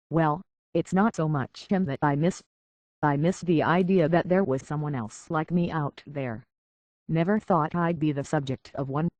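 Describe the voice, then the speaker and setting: A man's voice: on the high side, a little mellow, dry recording, lightly acted. A man playing a world-weary, decent android detective in a video game, speaking in a wry, plainspoken, reflective way on slightly dull audio. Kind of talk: video game character dialogue.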